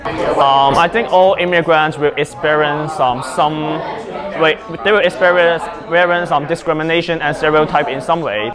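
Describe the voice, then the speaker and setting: A man's voice: fairly high pitch, steady tone, moderate pace. Hesitant teenage man from China. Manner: hesitant, with many ums. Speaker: immigrant student interviewee. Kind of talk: candid interview answers. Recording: crowd chatter behind.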